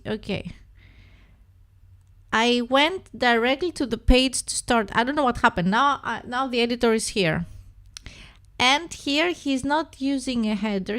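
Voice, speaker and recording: A young woman with a Greek accent, hosting a relaxed live stream and chatting playfully with viewers while she works on a website. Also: on the high side. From Greece. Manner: chatty and playful, talks to chat viewers by name, thinks aloud while working. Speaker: livestream host.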